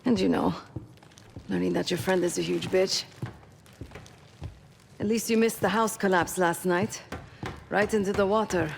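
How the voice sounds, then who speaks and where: A woman's voice: on the low side, steady tone, a little slow, creaky, long pauses, a little hiss or hum. An actress playing a guarded, tough female covert operative in a video game, blunt and intense. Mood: dry. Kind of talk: video game cinematic acting.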